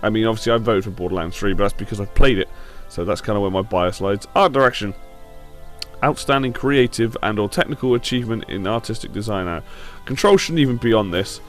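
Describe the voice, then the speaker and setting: Male gaming host, lowish voice, a bit croaky with a sore throat, informal and blunt, soft music behind. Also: a little fast. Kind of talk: casual opinion commentary on video games.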